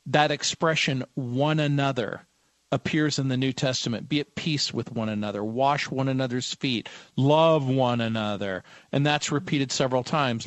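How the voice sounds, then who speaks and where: A man's voice: medium pitch, no room sound. A man hosting a radio talk show, speaking thoughtfully and quoting scripture. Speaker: radio host.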